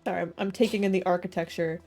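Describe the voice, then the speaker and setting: A woman's voice: on the low side, steady tone, rapid-fire, darkish tone. A woman chatting casually and reacting aloud as she streams a game. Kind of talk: casual live gameplay commentary. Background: game music.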